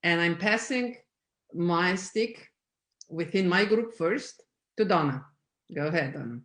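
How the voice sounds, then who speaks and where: A woman on a panel, appreciative and warm, handing the turn to the next speaker. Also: lowish voice, lively intonation, unhurried. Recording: roomy echo.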